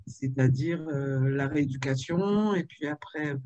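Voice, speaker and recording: A woman in her fifties from Marseille, telling her life story in a video-call interview, with frequent pauses and fillers. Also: deep voice, warm tone, no room sound. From Marseille, France. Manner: hesitant, with many fillers and restarts. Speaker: author and interview guest.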